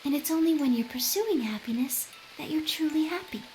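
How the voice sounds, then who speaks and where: An actress playing a gentle, earnest young woman in a video game, wistful and inquisitive. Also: sits high, a little fast, lightly acted, poor audio. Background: game music.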